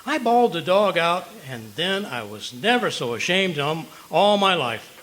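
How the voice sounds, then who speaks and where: A man acts a blustery American husband from old-time radio comedy. His voice is high and bright, swooping through folksy wisecracks and tall tales. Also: very animated, a little slow, exaggerated voice, poor audio. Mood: boastful.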